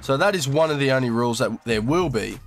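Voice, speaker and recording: A man's voice: mid-range voice, expressive, quick pace, faint music behind. Sweary Australian young man, a bit nasal. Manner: crude, swearing, laid-back slang. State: slightly nasal from a cold. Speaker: gaming YouTuber. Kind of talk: casual commentary over gameplay.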